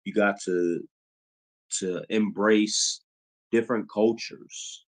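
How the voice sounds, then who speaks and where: Slow, deep-voiced middle-aged man from Chicago. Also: steady tone. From Chicago, USA. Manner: slow, unhurried talker with frequent ums. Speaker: podcast host. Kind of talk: casual interview chat.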